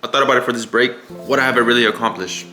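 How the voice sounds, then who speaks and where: A man's voice: mid-range voice, steady tone, racing, sharp tone, faint music behind. A young man casually talking through his trading progress in a vlog, laid-back and repetitive, often saying "bro" and "you know". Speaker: day trading vlogger.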